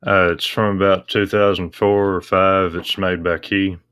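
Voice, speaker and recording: A man being interviewed, explaining things casually in a measured, matter-of-fact way. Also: sits low, flat delivery, a bit bright, smooth. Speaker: interview guest.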